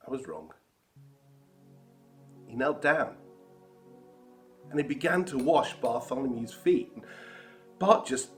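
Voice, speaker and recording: A man playing the apostle Thomas, telling the story wryly with soft laughs. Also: medium pitch. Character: apostle Thomas, adult man, wry and doubtful. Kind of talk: first-person dramatic monologue.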